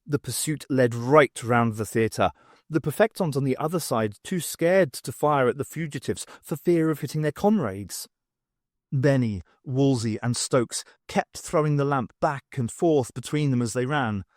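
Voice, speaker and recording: A man's voice: mid-range voice, unhurried, no room sound, clean studio sound. A man reading scripted story narration as the narrator of an audiobook.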